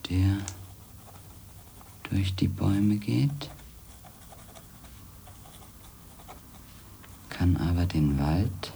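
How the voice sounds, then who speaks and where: An actor playing a skeptical, world-weary former magazine editor who narrates in a pensive, measured way in a radio drama. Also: male voice, low voice, gravelly, warm tone, slight background noise, heavily acted. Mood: slow, brooding. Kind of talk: German radio drama, introspective narration and dialogue.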